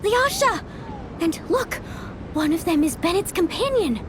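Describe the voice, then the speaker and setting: A woman's voice: very high-pitched, big pitch swings, a little slow, background noise, full character voice. A woman voicing a small, girlish, devoted companion in a video game, urgent and pleading. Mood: surprised. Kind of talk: animated video game acting.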